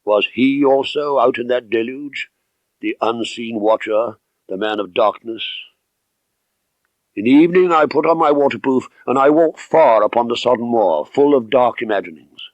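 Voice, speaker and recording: A man reading first-person literary narration as an audiobook narrator, measured, expressive and dramatic. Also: mid-range voice, no room sound, lo-fi sound.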